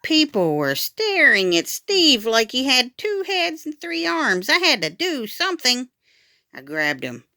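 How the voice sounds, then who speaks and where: Animated woman reading a children's story. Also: sits high, unhurried, dry recording, studio quality. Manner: animated, dramatic. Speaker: storytime reader. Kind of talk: expressive children's book read-aloud.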